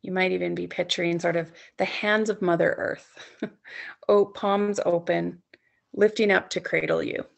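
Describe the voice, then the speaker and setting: A woman with a heavily creaky, fairly low voice leads a yoga nidra for sleep. She speaks in soothing, gently coaxing phrases and leaves long pauses between her instructions. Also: fairly even intonation. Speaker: yoga nidra instructor leading a guided meditation. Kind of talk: guided sleep meditation, softly spoken instruction.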